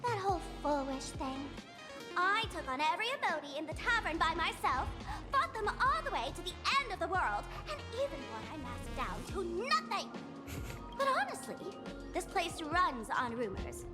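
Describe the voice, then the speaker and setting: Very high, mischievous young trickster girl. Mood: teasing, boastful. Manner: teasing, sing-song, mock-grandiose. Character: playful, cocky, mischievous young woman trickster. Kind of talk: video game character acting. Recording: long reverb, game music behind.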